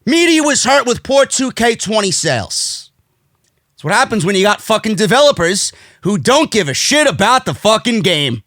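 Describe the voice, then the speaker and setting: Man, New York accent, very animated delivery, indignant, emphatic, brash commentary host, close dry recording. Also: high voice, moderate pace, no room sound. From United States. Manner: brash, profane, indignant, emphatic, repeats phrases for effect. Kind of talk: opinionated rant commentary.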